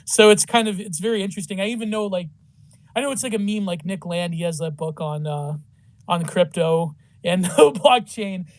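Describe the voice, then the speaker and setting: A man's voice: high voice, moderate pace, no echo, clean studio sound. A man talking in a casual online group chat. He rambles and uses "like" a lot, with some joking asides. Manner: rambling, heavy use of "like", joking. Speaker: participant. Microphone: online audio chat.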